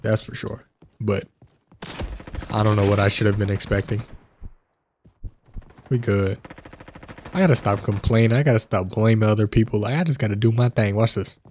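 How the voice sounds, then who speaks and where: A man with a stuffy nose from a cold, hosting casual gameplay commentary, chatty and prone to excited outbursts. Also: fairly low pitch, normal speed, pauses often, a little hiss or hum. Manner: chatty, addresses viewers as fellas, excited outbursts. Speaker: gaming channel host. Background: faint game gunfire.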